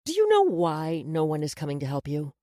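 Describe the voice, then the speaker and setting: A woman's voice: sits low, wildly swooping pitch, fairly quick, warm tone, dry recording, studio quality. A woman playing a menacing, mocking, commanding woman in charge, as audiobook dialogue. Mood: cold, mocking.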